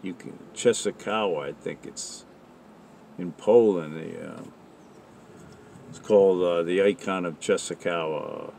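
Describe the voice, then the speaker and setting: A man's voice: low-pitched, a little slow, creaky, long pauses, mellow, a little hiss or hum. A man giving an informal religious monologue in an earnest, rambling, conversational way. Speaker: religious commentator.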